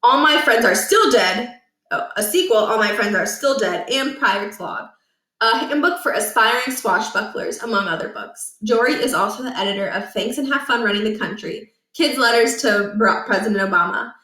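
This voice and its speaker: A woman with a fairly high voice narrates a children's book aloud. She reads as a storyteller in a room with a clear echo. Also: normal speed, bright, forceful tone, far from the mic. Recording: echoey, roomy home recording.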